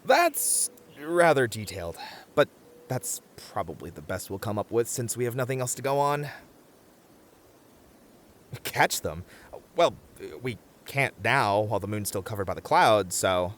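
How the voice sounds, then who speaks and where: A man acts out an anxious young male camper in a comic audio roleplay. His pitch moves around a lot as he hesitates, stammers and wryly argues for good sense. Faint noise sits behind him. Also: lively intonation, unhurried, a bit bright. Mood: thoughtful. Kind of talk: ASMR audio roleplay comedy acting.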